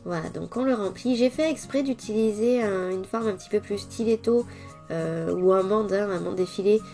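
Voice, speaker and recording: A woman's voice: medium pitch, normal speed, faint music behind. Stuffy-voiced French woman, chatty tutorial. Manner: chatty, lively, addressing viewers directly. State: stuffy head cold. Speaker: nail technician. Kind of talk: casual tutorial vlog.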